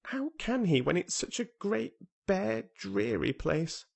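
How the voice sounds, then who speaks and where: Man playing a whiny sick kid in bed, pitched way up, cross and self-pitying, storybook voice. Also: expressive, a little slow, vocal fry, husky, full character voice. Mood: wistful. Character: petulant, sickly bedridden boy.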